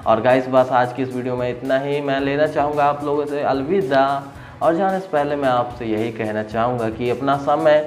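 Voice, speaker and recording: An Indian man presents an informal app review as a tech video host. He speaks in a mid-pitched voice with emphatic delivery, often repeating phrases to stress them. Music plays behind him. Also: fairly even intonation, normal speed.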